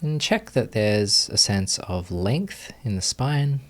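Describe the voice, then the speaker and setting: Low-voiced, slow-paced man guiding meditation. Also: very animated. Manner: gentle, unhurried instructions with long pauses. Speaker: meditation teacher. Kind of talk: guided meditation.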